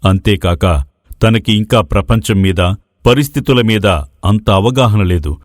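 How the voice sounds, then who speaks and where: A man voicing a patient, fatherly maharaja with measured, formal speech in a dramatized moral story. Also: lowish voice, no echo, clean studio sound, natural voice. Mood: troubled, reasoning.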